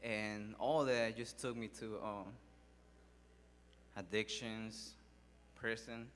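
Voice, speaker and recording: A man speaks slowly and haltingly, pausing often and filling the gaps. His voice sits a little low and carries a long hall echo over a faint hum. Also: flat delivery, fairly bright tone. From Mexico. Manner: halting, with many fillers and pauses. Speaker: speaker sharing his life story. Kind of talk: personal testimony speech. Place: large hall at a public event.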